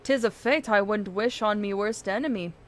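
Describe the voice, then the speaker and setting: A woman's voice: medium pitch, moderate pace, lightly acted. Woman putting on a salty, dramatic old sailor. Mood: grim, wry. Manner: piratical dialect, dramatic. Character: gruff, superstitious old sailor man, salty and urgent. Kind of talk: reading game dialogue aloud in character.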